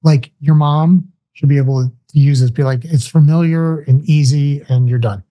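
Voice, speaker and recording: A man's voice: on the high side, fairly even intonation, warm tone. A man in his forties, a company founder guesting on an interview show, explaining how his product works step by step from a small room at home. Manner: brisk, explanatory, walks through steps. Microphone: large studio mic on arm with headphones, video call.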